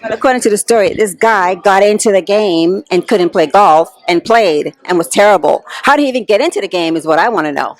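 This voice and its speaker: A woman's voice: medium pitch, no room sound. A woman hosting red carpet interviews, firing off upbeat questions. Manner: upbeat, rapid-fire questions.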